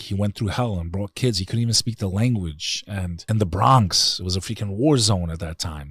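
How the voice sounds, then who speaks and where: A man with a very deep voice and a New York accent, talking earnestly and bluntly in an interview with loose slang. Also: husky, no room sound. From United States. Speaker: guest. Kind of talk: casual interview talk.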